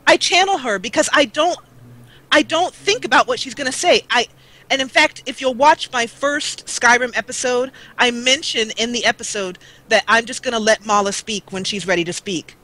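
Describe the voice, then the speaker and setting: A woman's voice: moderate pace, sharp tone, low-quality recording. A woman talking casually as a guest on a show about gaming videos and role-playing. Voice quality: low-voiced. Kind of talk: casual chat.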